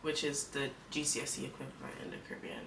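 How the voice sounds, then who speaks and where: A young woman hosts the chat in a bright, crisp voice and asks her questions in an upbeat, giggly way. She sits some way from the mic in an echoey room. Faint room noise runs behind her. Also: a bass voice, steady tone, fast talker, recorded from a distance. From Cayman Islands. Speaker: YouTube host. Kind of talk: casual chat.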